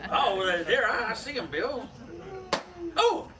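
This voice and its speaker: A man playing a fat, excitable, folksy cowboy deputy sidekick in a Western radio drama. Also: extremely high pitch, exaggerated voice. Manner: folksy, exclamatory, stammering. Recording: distant stage microphone, noisy room.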